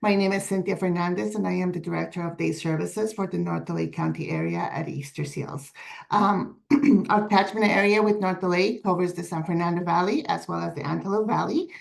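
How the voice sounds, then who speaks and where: A woman with a raspy voice presenting her organization's day services on a video call, earnest and steady, reading prepared points with some fillers. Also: fairly low pitch, a little fast. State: raspy voice today. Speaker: director of day services presenting at a community forum.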